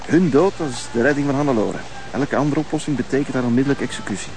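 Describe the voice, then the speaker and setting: A man's voice: medium pitch, fast, darkish tone, faint music behind, natural voice. A man playing a cold, mocking, controlled hostage-taker in a television crime drama. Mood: cold, commanding. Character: cold, taunting male villain holding a hostage.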